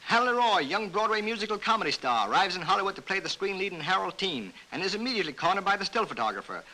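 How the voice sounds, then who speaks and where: Male American newsreel announcer, 1934 style: bright, forceful voice, very animated delivery, full of wisecracks. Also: sits high, fast talker, low-quality recording. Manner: jaunty, wisecracking. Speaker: newsreel narrator. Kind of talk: 1930s newsreel scripted narration. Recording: bright vintage 1930s newsreel soundtrack.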